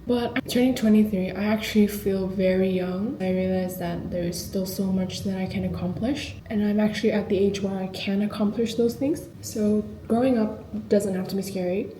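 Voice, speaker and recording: A woman's voice: medium pitch, fairly even intonation, moderate pace, few pauses, dark tone, reverberant, a little hiss or hum, low-quality recording. A woman in her twenties talking in a reflective, confiding, chatty way in a personal diary vlog. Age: 20s. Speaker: vlogger.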